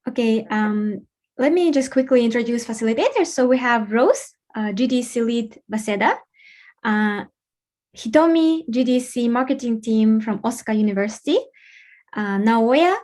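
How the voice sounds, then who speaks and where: High-voiced woman hosting an event. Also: moderate pace, darkish tone. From Kazakhstan. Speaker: event host and facilitator. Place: online video call.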